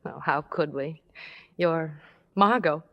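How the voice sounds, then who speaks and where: A woman acting a genteel, anxious friend in a vintage radio drama, speaking in a polite, measured storytelling way. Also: deep voice, highs cut off. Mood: uneasy. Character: genteel, anxious woman friend narrating her guilty scheme.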